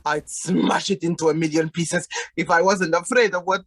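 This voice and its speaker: A man's voice: fairly high pitch, steady tone, normal speed. A man playing an exasperated, grumbling householder with frayed nerves in a radio play, agitated and indignant. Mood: weary grumbling.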